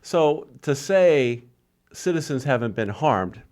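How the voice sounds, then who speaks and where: A man's voice: medium pitch, lively intonation, slow, darkish tone. A man co-hosting a podcast, giving conversational commentary on current affairs. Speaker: podcast co-host.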